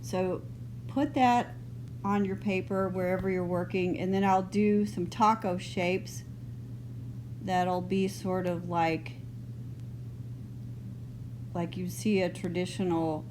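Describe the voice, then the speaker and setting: A middle-aged woman from Texas with a mild, partly northern Texas accent, teaching a drawing lesson in a relaxed, chatty way as she works. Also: lowish voice. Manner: relaxed, unhurried, loose and chatty. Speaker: art teacher. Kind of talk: drawing lesson, thinking aloud. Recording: noisy home recording.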